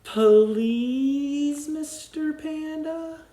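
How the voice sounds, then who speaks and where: A man's voice: very high-pitched, very slow, warm tone, pitched above the performer's own voice, full character voice. A man voicing a small, timid and polite animal, hesitant and sweet, drawing out his words. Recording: echoey room, faint ambience.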